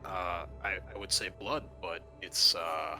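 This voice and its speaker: A man's voice: low-pitched, steady tone, unhurried, pushed and bright, faint music behind, voice pushed down, heavily acted, low-quality recording. A man playing an uncertain spaceship crewman in a tabletop game, musing in a hesitant way. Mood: puzzled, unsure.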